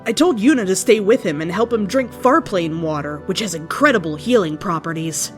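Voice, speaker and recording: A woman's voice: medium pitch, expressive, brisk, soft background music. A woman reading fan fiction aloud as narrator, in a mock-serious comic style. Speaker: story reader.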